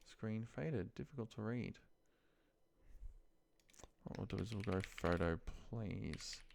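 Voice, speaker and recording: Deep, creaky-voiced man thinking aloud. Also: slow, darkish tone, a little hiss or hum. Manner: muttering to himself in short phrases. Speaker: office trainer showing a data task. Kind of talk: casual screen-share walkthrough, thinking aloud. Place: indoors at a computer, screen recording.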